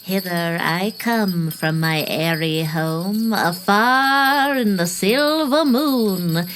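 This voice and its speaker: A woman's voice: medium pitch, fairly bright tone, faint music behind. A woman playing a magical figure in a melodrama within an audio drama, chanting grandly in rhyme. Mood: incantatory. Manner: incantatory, slow, grandly theatrical rhyming. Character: airy sprite and its summoner in melodrama play.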